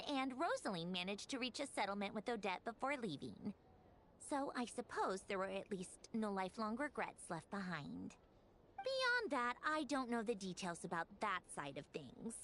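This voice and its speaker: A woman's voice: mid-range voice, slight background noise, lightly acted. A woman playing a cool, haughty young noblewoman in a video game, speaking in a composed, aloof and precise way. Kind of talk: video game character acting.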